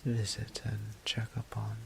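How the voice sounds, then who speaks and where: A man playing a weary, courteous immortal vampire priest in an audio drama, speaking formal, old-fashioned English in a breathy, gravelly near-whisper. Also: on the low side, monotone, a little slow, crowd noise. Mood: musing, composed. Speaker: voice actor. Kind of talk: scripted audio drama monologue.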